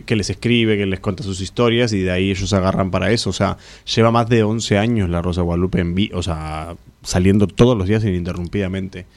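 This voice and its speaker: A young man from Uruguay with a mixed Uruguayan, Argentine and Mexican Spanish accent, an actor and musician speaking in a casual interview, rambling and correcting himself as he goes. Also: sits low. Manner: rambling, self-correcting, earnest.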